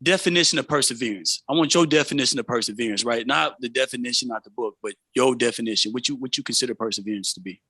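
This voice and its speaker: A man's voice: medium pitch, fast talker, hardly any pauses, sharp tone, slightly rough, no echo. A man, hosting an informal motivational interview in a streetwise way, often checking in with a tag question. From San Francisco Bay Area, United States. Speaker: show host, interviewer.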